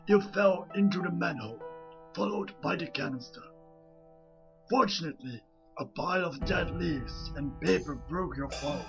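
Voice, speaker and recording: A man voicing an aged mutant rat master, solemn and fatherly, narrating a voice comic in a creaky, measured delivery. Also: on the high side, low-quality recording. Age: older. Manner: slow, measured, grave storytelling. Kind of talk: scripted narration in a fan voice comic. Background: music.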